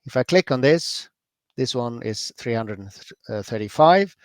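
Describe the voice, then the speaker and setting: Norwegian man casually demoing software. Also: mid-range voice, unhurried, no echo, studio quality. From Norway. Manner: relaxed and chatty, with many fillers and self-corrections. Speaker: presenter at a user group. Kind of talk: screen-share software demo.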